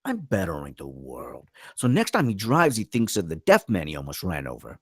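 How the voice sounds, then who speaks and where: A man's voice: low voice, wildly swooping pitch, moderate pace, husky, no room sound, clean studio sound, exaggerated voice. A man at a script table read playing a brash, sarcastic young delinquent brother, mouthy and emphatic. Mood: sardonic.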